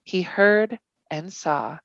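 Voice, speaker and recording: Lowish-voiced woman reading a story. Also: very slow, vocal fry, no echo, highs cut off. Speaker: volunteer storybook reader. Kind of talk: scripted narration, bedtime novel reading.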